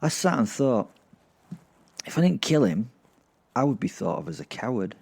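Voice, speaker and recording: A man's voice: medium pitch, big pitch swings, normal speed, creaky, a little mellow, slightly rough. A man telling a story as podcast host, in an informal, rambling way. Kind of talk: first-person dramatic fantasy narration.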